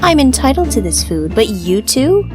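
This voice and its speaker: A woman's voice: sits high, big pitch swings, normal speed, over music. A woman as a haughty, curt house cat. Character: haughty, independent, bitter female house cat. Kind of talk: character acting in a visual novel.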